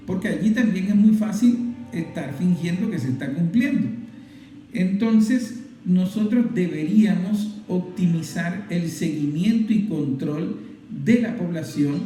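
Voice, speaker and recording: A Venezuelan man delivers emphatic political commentary in a high, mellow voice. He explains things like a teacher, repeating phrases to drive points home. The room is strongly echoey. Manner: emphatic, didactic, repeats phrases in list-like runs. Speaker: political commentator hosting a program. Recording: very echoey room, faint music. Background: faint music.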